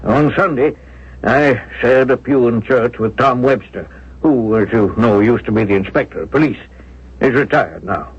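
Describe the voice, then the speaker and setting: Grieving, bewildered male storyteller, played by an actor, medium pitch, husky, earnest, old muffled radio-play sound. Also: moderate pace, close to an everyday voice. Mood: confiding. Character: grieving, bewildered Massachusetts man recalling his lost love. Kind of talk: 1970s radio drama acting. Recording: muffled vintage radio broadcast.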